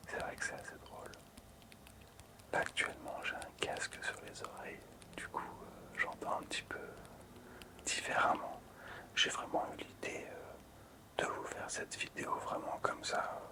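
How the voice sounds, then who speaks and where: A man whispers breathily as an ASMR host presenting collectibles, lingering and repeating words softly. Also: normal speed, long pauses.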